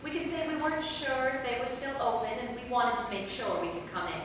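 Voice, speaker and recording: A woman's voice: on the high side, expressive, brisk, close to an everyday voice. A woman playing a determined, practical female vampire in a staged play reading, with a firm, matter-of-fact delivery. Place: theater hall, recorded from a distance. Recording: very echoey hall, far mic, noisy.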